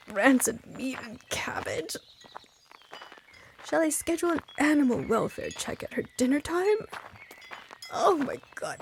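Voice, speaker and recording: Woman acting an arch, theatrical, sharp-witted lady. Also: sits high, slight background noise, pitched above the performer's own voice, exaggerated voice. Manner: arch, droll, indignant exclamations. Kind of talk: audiobook character dialogue.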